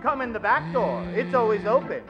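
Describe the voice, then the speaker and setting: A man's voice: very high voice, big pitch swings, a little fast, lo-fi sound, music in the background. A man hosting and narrating an old-time radio show with theatrical announcer delivery. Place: indoor theatre stage. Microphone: vintage-style stand microphone.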